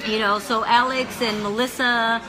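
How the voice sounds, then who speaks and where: Upbeat high-voiced woman hosting a livestream. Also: steady tone, moderate pace, poor audio. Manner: upbeat, encouraging, interjects often. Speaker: livestream host. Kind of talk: casual livestream chat. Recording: background music and crowd noise.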